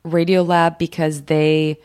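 Brief: woman host, deep husky and frayed, chatty with fillers, dry studio sound. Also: flat delivery, slow, darkish tone, dry recording. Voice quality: low husky slightly fried voice. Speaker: podcast host. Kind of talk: casual podcast chat.

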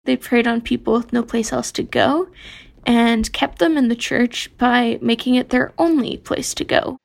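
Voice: mid-range voice, fairly even intonation, unhurried, creaky. A listener reading their personal story in a wry, pointed way. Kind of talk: read personal testimony.

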